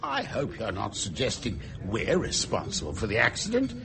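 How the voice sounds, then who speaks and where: A man's voice: lowish voice, wildly swooping pitch, normal speed, creaky, noisy background, exaggerated voice. An actor playing a stiff, defensive male ship's captain in a radio drama, clipped and formal, with a crowd behind him. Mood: stiffly defensive.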